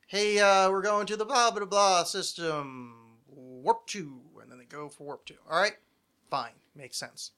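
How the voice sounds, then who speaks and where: A man's voice: high-pitched, unhurried, pushed and bright, clear, resonant tone. A man hosting a podcast, chatting casually in an opinionated, nerdy, swearing rant. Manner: ranting, profane, nerdy. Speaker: podcast host.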